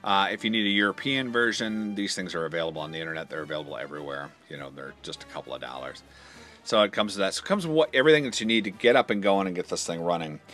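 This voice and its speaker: A man with a low, forceful voice walks through a product demonstration in a casual, practical way. He explains each part step by step in an informal manner, with music behind him. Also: lively intonation, very fast. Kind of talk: casual hands-on product walkthrough.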